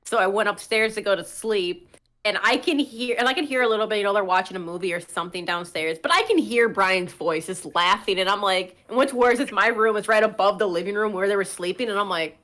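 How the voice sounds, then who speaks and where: A woman's voice: medium pitch, fairly quick. A woman telling stories in casual chat as a podcast co-host, acting out what others said. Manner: animated storyteller, acts out quotes.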